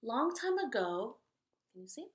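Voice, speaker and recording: A woman hosting a read-aloud of children's picture books, delivering rhymes with expressive emphasis and chatting casually in between. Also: a little high, unhurried, slight background noise.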